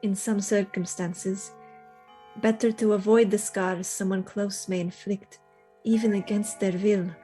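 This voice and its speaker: A woman plays an enigmatic young lady in a radio drama, poised and cool. Her mellow, soft-edged voice is measured and formal, with music behind it. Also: medium pitch, steady tone, lightly acted, rough recording. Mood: cool, guarded. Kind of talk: radio play acting.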